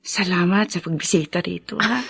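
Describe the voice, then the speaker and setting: An actress playing a sorrowful, bereaved daughter in a Filipino melodrama, tearful and pleading. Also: female voice, fairly low pitch, fairly even intonation, moderate pace, creaky, a bit bright, slightly rough, dry recording, clean studio sound, full character voice. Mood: subdued, grateful. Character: grieving daughter who cared for her sick mother. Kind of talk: Filipino radio-style melodrama acting.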